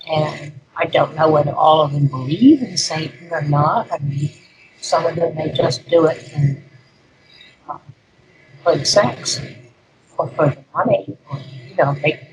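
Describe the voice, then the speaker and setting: An older woman, a guest speaker, talking through an interview in an unhurried, rambling way with plenty of fillers. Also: sits low, poor audio. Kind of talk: conversational interview.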